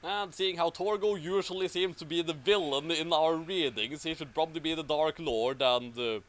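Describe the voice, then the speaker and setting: A man's voice: a little high, normal speed, a bit bright. A man hosting and narrating a comic fan fiction reading in an amused, theatrical way. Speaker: host and narrator.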